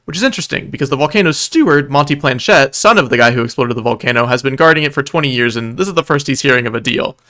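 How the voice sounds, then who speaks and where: A man narrates a scripted video recap like a storyteller. His delivery is wry and casual, with dry asides set among more dramatic passages, and his pitch sits in the middle of the male range. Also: expressive, fast talker, hardly any pauses, bright, forceful tone.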